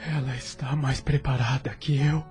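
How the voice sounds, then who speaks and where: A man's voice: mid-range voice, level tone, moderate pace, a little mellow, faint music behind, natural voice. A man playing a loving, sorrowful husband in an audio drama, speaking tenderly and earnestly. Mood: sad concern.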